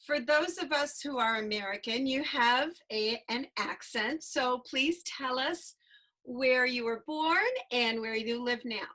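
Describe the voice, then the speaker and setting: A woman hosts an interview show in a fairly high voice. She speaks very slowly and deliberately. She is warm and enthusiastic and exclaims often. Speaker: host.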